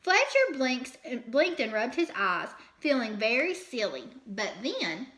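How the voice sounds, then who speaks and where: Animated, high-voiced woman reading a storybook. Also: slow pace, roomy sound. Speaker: teacher reading a storybook aloud. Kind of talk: expressive children's read-aloud.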